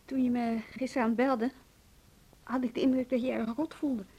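Earnest woman in a radio play, keeps probing to help, husky highish voice. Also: flat delivery, brisk, mellow. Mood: concerned. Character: concerned, persistent married woman colleague, eager to help. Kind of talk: Dutch radio play acting.

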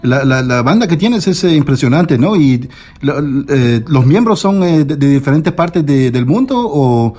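Halting Spanish-speaking radio host, man. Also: a little high, animated, warm tone. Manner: halting, many "este" and "eh" fillers and restarts. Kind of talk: bilingual radio interview.

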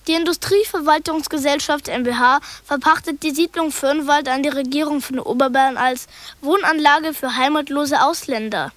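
A woman's voice: sits high, normal speed, fairly bright tone, no room sound. A woman narrator reading archival documents in a neutral, detached manner.

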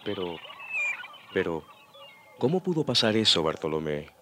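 A grave priest in a radio drama. His very deep voice is solemn and cautioning, like a superior giving counsel. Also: very animated, moderate pace, background noise. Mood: puzzled concern. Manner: solemn, admonishing.

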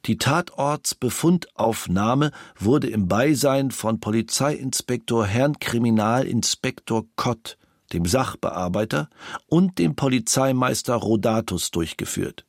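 A man's voice: medium pitch, expressive, a little slow, no echo, studio quality. A man playing a police officer, reading an official report for a documentary in a formal, matter-of-fact way. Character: sober male police officer reporting a case. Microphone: close studio microphone.

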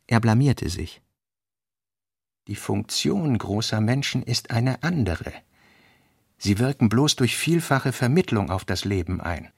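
Guy narrating a radio play, creaky voice, very dry recording. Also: medium pitch, lively intonation, measured pace, no echo. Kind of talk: scripted literary reading in radio drama.

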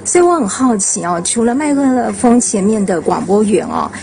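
An effusive woman broadcaster talks in a casual panel chat. Her fairly high voice swings widely, with clear noise around her. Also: lively intonation, normal speed, a little mellow. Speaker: radio broadcaster. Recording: noisy room ambience.

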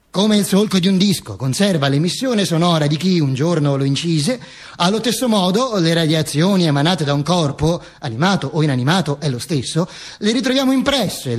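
Guy doing a comic theatre monologue as a wry dead man, swoopy pitch, a bit husky, mock serious with asides. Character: Mussolini's corpse narrating its own misadventures with ironic wit. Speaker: stage actor and monologist.

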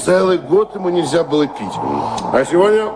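Живой, переливчатый голос общительного доктора. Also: male voice, sits high, animated, normal speed. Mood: jovial, teasing. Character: sociable, wry, talkative doctor, drinking companion. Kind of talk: radio play acting. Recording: noisy, hissy radio play recording.

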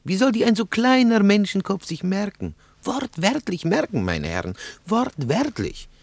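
An actor playing a nervous, eager young Russian interpreter in a radio play, speaking formal German earnestly. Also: male voice, sits high, sing-song, normal speed, creaky, dry recording, exaggerated voice. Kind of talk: 1950s radio play acting.

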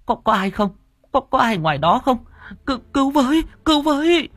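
Man as frantic motherly older woman, high-pitched, stammering, repetitive, audio drama storytelling. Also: big pitch swings, fairly quick, fairly bright tone, no echo, heavily acted. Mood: screaming for help. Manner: stammering, repeating words in alarm.

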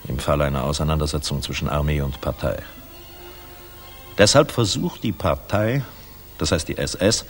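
A very low male voice with faint music behind it: a worldly air force general in an old German radio play. He is cynical yet jovial, and talks in blunt, colloquial turns. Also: breathy edge, exaggerated voice. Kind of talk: 1960s German radio drama acting.

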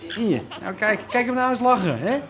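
A man's voice: sits high, very animated, a little fast. A man playing a harried, evasive young tough and reluctant father in a radio drama, hesitant and wheedling. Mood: coaxing. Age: young adult. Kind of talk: naturalistic radio drama acting.

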